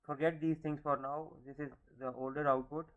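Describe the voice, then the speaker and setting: A man's voice: fairly high pitch, little pitch movement, brisk, a bit bright, dull audio. A man in his thirties teaching a programming class, explaining step by step and often checking in with "okay?". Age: 30s. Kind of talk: screen-recorded coding lecture.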